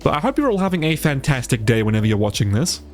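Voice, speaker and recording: Male gamer, stuffy congested voice, casual sweary commentary, animated pitch, easily amazed, faint music behind. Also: quick pace. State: sick with a stuffy nose. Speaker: gaming YouTuber, player. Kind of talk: casual let's play commentary.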